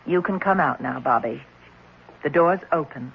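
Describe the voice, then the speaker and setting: A woman's voice: low-pitched, normal speed, gravelly. An actress playing a stern, matter-of-fact woman who runs an orphanage in a 1970s radio drama. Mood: brisk. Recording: muffled, noisy vintage radio recording.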